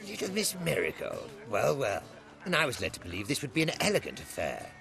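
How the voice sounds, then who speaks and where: A man's voice: medium pitch, wildly swooping pitch, a little fast, vocal fry, fairly bright tone, faint music behind, heavily acted. A man voicing a haughty, sardonic fellow in a video game, mocking and theatrical. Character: haughty, sardonic old acquaintance, male.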